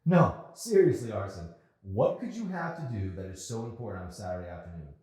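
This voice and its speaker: A man's voice: on the low side, lively intonation, a little fast, darkish tone, lightly acted. A man voicing a spiteful, sarcastic young female coworker while reading a novel. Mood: mocking. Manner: sarcastic, scolding. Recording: very echoey room.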